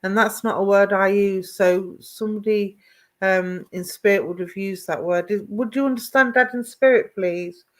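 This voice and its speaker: A woman's voice: medium pitch, steady tone, moderate pace. Affectionate woman giving live psychic readings. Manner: affectionate, calls viewers "my darling"; greets and addresses viewers by name, asks if things make sense. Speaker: psychic medium.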